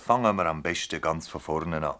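An actor playing an authoritative public prosecutor with a Swiss German accent, brisk and formal, in a radio drama. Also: male voice, very deep voice, pushed and bright. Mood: calm, firm. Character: authoritative male public prosecutor questioning village witnesses. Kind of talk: radio drama acting in dialect.

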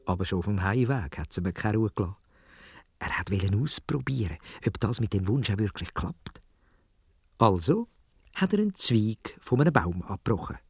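Man, Swiss German accent, children's storyteller, lively swooping pitch, husky voice, dry close recording. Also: normal speed, no room sound. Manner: dramatic, addresses young listeners with questions and exclamations. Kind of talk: expressive scripted children's storytelling.

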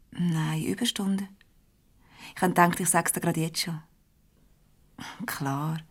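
An actress playing a polite but self-assured secretary in a 1970s radio comedy, matter-of-fact and gently pert, with a Swiss German accent. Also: female voice, lowish voice, lightly acted. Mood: casual, familiar.

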